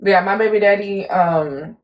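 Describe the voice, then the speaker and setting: A young woman from Puerto Rico co-hosting a casual chat, telling stories chattily in quick bursts and swearing casually. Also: medium pitch. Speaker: podcast co-host.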